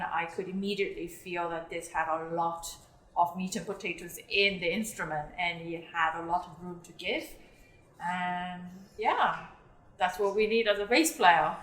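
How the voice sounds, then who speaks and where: A young woman who was born in South Korea and grew up in England, speaking thoughtfully about her work as an orchestral bassist in an interview. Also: fairly bright tone. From South Korea, raised in England. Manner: thoughtful, earnest, with fillers. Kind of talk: interview talk. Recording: roomy echo.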